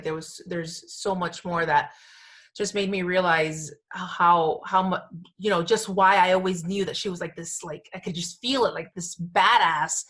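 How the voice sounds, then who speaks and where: A woman's voice: fairly low pitch, a bit bright. A woman hosting a casual pop culture podcast, gushing and emphatic, with informal slang and phrases repeated for emphasis. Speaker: podcast host.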